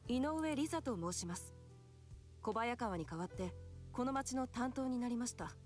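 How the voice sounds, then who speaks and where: A woman's voice: fairly high pitch, lively intonation, quick pace, darkish tone, faint music behind, natural voice. An actress playing a poised, firm female special agent in a visual novel, formal and matter-of-fact. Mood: businesslike. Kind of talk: Japanese visual novel acting.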